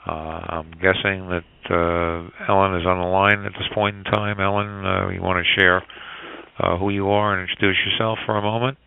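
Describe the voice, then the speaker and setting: A man hosting a podcast, introducing it in a halting, wordy and formal manner over a phone line. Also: low voice, little pitch movement, gravelly, clean tone. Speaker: podcast host. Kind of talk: spoken introduction to a business podcast. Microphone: telephone call. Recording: tinny phone line, dry.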